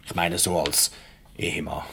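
An actor with a Swiss German accent playing an awkward, patronising middle-aged married boss in a radio comedy, hemming and ingratiating. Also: male voice, low voice, little pitch movement, normal speed, vocal fry, a bit bright, slight background noise. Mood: defensive, pleading. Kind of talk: light radio comedy play acting.

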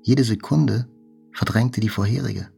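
An actor playing a shaken, reflective survivor looking back, speaking deliberately with pauses, as in a radio play. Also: male voice, fairly low pitch, gravelly, mellow, breathy edge, soft background music, exaggerated voice. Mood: urgent, rising. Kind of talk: dramatic literary narration, radio play.

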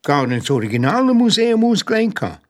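An actor with a Swiss German accent playing a bumbling, forgetful, good-natured detective sidekick in a children's radio play. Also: male voice, high voice, sing-song, fast talker. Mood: puzzled.

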